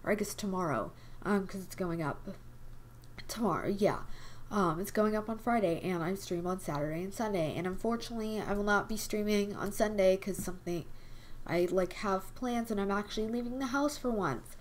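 A young woman hosting a casual game video, her voice hoarse and quiet from a cold, rambling with lots of fillers. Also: mid-range voice, normal speed. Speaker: gaming channel host. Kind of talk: casual chat over gameplay. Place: home desk at night.

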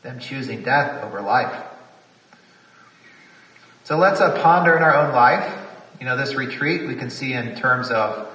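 A man's voice: mid-range voice, unhurried, pauses often, sharp tone, roomy sound, big-room sound, distant mic, rough recording. A man, a priest, preaching a reflective homily at a retreat, repeating phrases for emphasis.

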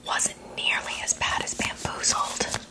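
Whispering woman doing ASMR. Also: measured pace. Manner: hushed, breathy, unvoiced whispering. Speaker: ASMR creator tasting candy. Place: small indoor setup with fabric backdrop. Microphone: close tabletop microphone.

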